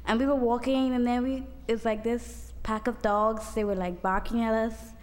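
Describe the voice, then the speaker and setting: A woman's voice: fairly high pitch, fairly even intonation, normal speed. A young woman telling her church group a casual story as a student testimony, chatty and full of fillers. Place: church hall. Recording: long hall reverb, faint noise.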